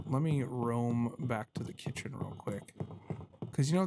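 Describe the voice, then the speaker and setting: A man's voice: medium pitch, noisy background. A man giving casual let's-play commentary as a gaming host, talking to himself and poking fun at his own fumbles. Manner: self-deprecating, talks to himself while puzzling.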